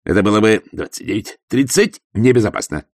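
A man voicing an arrogant, self-assured young nobleman who speaks calmly and coolly. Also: on the low side, brisk, dry recording, clean studio sound, voice pushed up. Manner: cool, self-assured, calm. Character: arrogant young nobleman, werewolf son, fitness-obsessed. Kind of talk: audiobook character voice.

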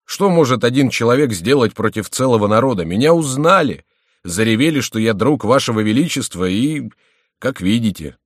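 A man's voice: mid-range voice, expressive, normal speed, no room sound, studio quality, pitched above the performer's own voice, close to an everyday voice. A man voicing a complaining courtier in an audiobook, indignant, exclamatory and self-pitying. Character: complaining male courtier, indignant and breathlessly dramatic. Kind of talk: audiobook character voice.

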